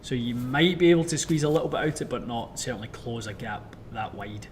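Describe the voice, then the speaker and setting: Soothing-voiced male fitter, relaxed. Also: mid-range voice, brisk, a little hiss or hum. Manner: relaxed, thoughtful, hedging with fillers. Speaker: club fitter and show host. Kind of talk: casual live Q&A chat.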